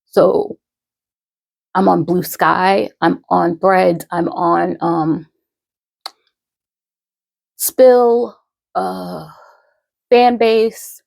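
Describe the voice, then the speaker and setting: A woman in her thirties talks casually to her followers, rambling and restarting her sentences. Her voice sits a little low and is thick with gravelly fry. She speaks very slowly into a close microphone with a dry, dead sound. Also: steady tone, darkish tone, dry recording. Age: 30s. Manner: slow, rambling, many fillers and restarts. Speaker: poet and podcaster.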